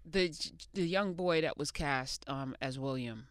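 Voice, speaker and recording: A woman's voice: sits low, unhurried, no room sound, clean studio sound. A middle-aged woman with an American accent, interviewing as a radio host in a casual, blunt, colloquial way. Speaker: radio talk show host. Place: small radio studio. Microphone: close studio microphone on boom arm.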